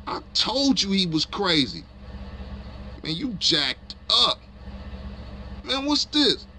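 An actor playing a cocky, hot-tempered gangster who talks in brash, mocking slang in a video game. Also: male voice, sits high, very animated, slow, gravelly, sharp tone, slight background noise, exaggerated voice. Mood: scornful. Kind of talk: video game cutscene acting.

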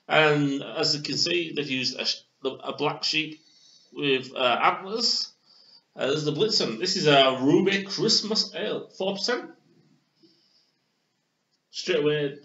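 Bright-voiced young man reviewing beer. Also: fairly high pitch. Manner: hesitant, many fillers and restarts. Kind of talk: casual beer tasting review. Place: small bedroom with posters, indoors. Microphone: webcam on desk. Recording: roomy, dull lo-fi webcam audio.

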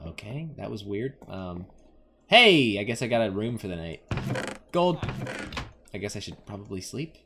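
A man's voice: fairly low pitch, big pitch swings, moderate pace, pauses often, noisy background. A young man giving casual, playful gaming commentary, rambling to himself and to the game's characters. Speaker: gaming video host. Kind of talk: casual Let's Play commentary on an adventure game.

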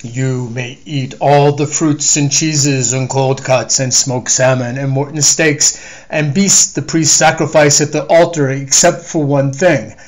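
A man putting on a stern, booming God. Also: lightly acted. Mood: solemn. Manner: slow, commanding proclamations. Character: stern booming male God, wrathful judge.

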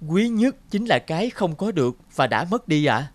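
A man voicing a lovesick young spider in an animated fairy tale, plaintive, humble and earnest. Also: fairly high pitch, moderate pace. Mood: sorrowful, reverent. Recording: guitar music behind dialogue.

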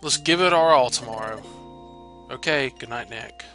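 Man voicing an eager, bright little mouse creature. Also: medium pitch, moderate pace, faint music behind. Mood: earnest excitement. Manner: earnest, excitable. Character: eager, friendly young male electric mouse creature.

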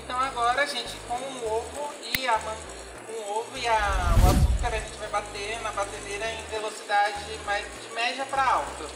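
A woman's voice: on the high side, steady tone, normal speed. A woman with a Brazilian Portuguese accent giving step-by-step baking instructions at a trade fair class. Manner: step-by-step instructing, self-correcting. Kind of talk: cooking demonstration. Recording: echoey fair hall from far off. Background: loud music.

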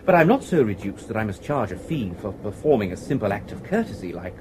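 Suave English gentleman, lively intonation. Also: fairly low pitch, fast talker. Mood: breezy, confident. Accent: upper-class English. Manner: elaborately polite, pompous, droll. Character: suave, verbose, unflappable young gentleman impostor. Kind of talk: 1980s radio comedy acting. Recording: noisy old radio drama recording.

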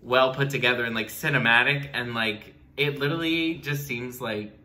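Bright, halting young man. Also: mid-range voice. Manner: halting, heavy use of "like". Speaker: reaction video co-host. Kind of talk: casual review chat. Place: small room indoors.

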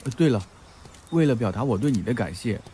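An actor playing a shy, gentle young Japanese male student in a radio drama, speaking hesitantly. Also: medium pitch, animated, fairly quick, a little hiss or hum, lightly acted. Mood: shy, grateful.

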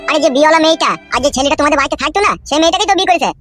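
A nervous village tattletale from a comedy cartoon , acted by a man, confiding and grumbling in a rural West Bengal accent. He talks very quickly, with music playing behind him. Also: extremely high pitch, sharp tone. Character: nervous gossiping village man, wary political hanger-on.

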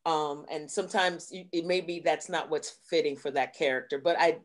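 A woman's voice: deep voice, fairly even intonation, normal speed. A middle-aged woman chatting as an interview guest over a video call from home, reflective and often rewording herself as she goes. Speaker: guest, stage actress. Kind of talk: casual interview chat. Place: room at home, indoors.

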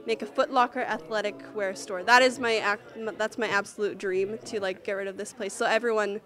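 A woman's voice: mid-range voice, fairly even intonation, a little fast, a bit bright, faint music behind. A teenage girl who is a student actor, chatting in an interview with lots of "like", speaking into a handheld mic in a theatre rehearsal room. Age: teens. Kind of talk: casual interview answers.

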